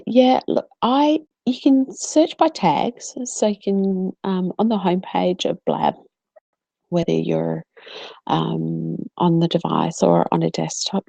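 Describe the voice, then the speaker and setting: A woman's voice: fairly low pitch, vocal fry, mellow, no room sound, muffled recording. A woman from South Australia with a South Australian accent, co-hosting a casual live-stream Q&A and chatting to viewers, with plenty of ums. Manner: chatty, many ums, answers viewers by name. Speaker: co-host.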